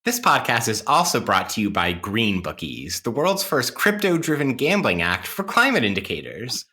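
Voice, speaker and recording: A man, a podcast host, reading an upbeat scripted mock advertisement with an exaggerated salesman's pitch. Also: sing-song, normal speed, sharp tone.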